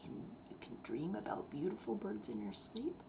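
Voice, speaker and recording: An older woman tells a bedtime story in verse to children, with a breathy, often hushed, expressive delivery. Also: low-pitched, normal speed, in a whisper, rough recording.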